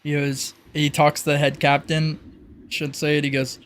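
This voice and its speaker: A man narrating a tabletop game casually as game master, improvising in a halting way. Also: fairly high pitch, completely flat delivery, a bit bright, a little hiss or hum.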